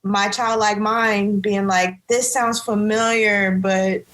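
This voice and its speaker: A young adult woman with a deeper voice, talking casually and fondly as a podcast co-host. Her pitch sits in a middle range, and a faint hiss of noise runs under it. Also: little pitch movement, takes it slowly, a bit bright. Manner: fond, reflective, loose. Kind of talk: casual chat.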